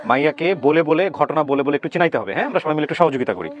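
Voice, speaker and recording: A man's voice: fairly high pitch, expressive, racing, lo-fi sound. A man with a Bangladeshi accent hosting an interview, directing people and asking questions. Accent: Bangladeshi Bengali. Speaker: host. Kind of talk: conversational interviewing.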